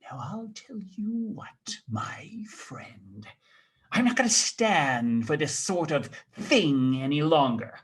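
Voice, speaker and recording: A man performs an old miser turned kindly, in a storybook reading. He stammers and hesitates, giddy one moment and playfully gruff the next, with a high, widely swooping pitch. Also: lively intonation. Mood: mock-stern growl. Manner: stammering, halting.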